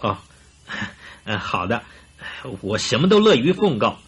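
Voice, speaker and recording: An actor playing a stiff, uneasy father in his forties in a Mandarin radio drama, raspy, courteous and halting. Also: medium pitch, sing-song, exaggerated voice. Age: 40s.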